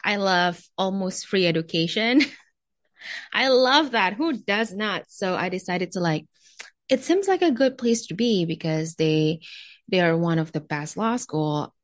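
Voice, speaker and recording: A woman's voice: mid-range voice, lively intonation, a little slow, no room sound. A young adult woman with an Indonesian accent, a guest chatting casually about her background in an interview. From Jakarta, Indonesia. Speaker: podcast guest. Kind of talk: casual interview chat, telling personal background.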